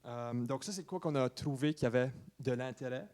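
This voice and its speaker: A man in his twenties with an Acadian New Brunswick French accent, giving an informal presentation at a meeting, often pausing mid-phrase. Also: mid-range voice, darkish tone. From Saint-Louis-de-Kent, New Brunswick, Canada. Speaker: student presenter to a municipal council.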